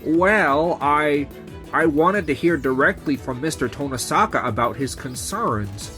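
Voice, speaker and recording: A man's voice: fairly high pitch, animated, unhurried, bright, forceful tone, faint music behind. A man voicing an anxious, stammering young male nurse, insecure and pleading, in a manga dub. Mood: dejected, apologetic. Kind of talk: animated romantic-comedy dub acting.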